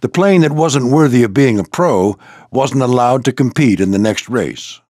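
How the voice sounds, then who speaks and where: An older American man voicing a proud, gruff old military plane who mentors a squadron in a video game, with a gravelly voice and a commanding, folksy manner. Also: medium pitch, animated, moderate pace, mellow, dry recording, clean studio sound, lightly acted. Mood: wry, confident. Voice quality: gravelly, husky. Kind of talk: scripted video game character lines.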